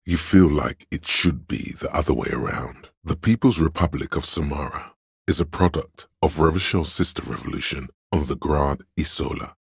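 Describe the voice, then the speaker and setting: A man playing a learned, detached inner voice of trivia in a video game, narrating in a measured, erudite, wry way with a smooth, resonant tone. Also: a bass voice, dry recording, studio quality, heavily acted. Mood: informative, deadpan.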